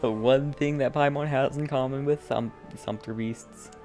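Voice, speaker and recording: Very low-voiced woman streaming a game. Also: steady tone, normal speed, mellow, faint music behind. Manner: offhand, playful asides. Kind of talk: casual playthrough commentary.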